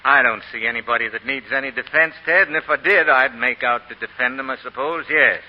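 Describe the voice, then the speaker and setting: An actor in a 1940s radio drama playing a courtly, proud Southern planter with a folksy, polite, halting manner. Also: male voice, mid-range voice, lightly acted. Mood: coolly menacing. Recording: muffled, echoing, noisy old radio recording.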